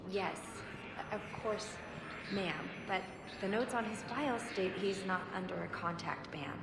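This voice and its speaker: A woman's voice: medium pitch, measured pace, vocal fry. A woman playing a patient, uneasy young clerk in a game drama, hesitant and placating. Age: young adult. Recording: echoey hall, loud ambient noise.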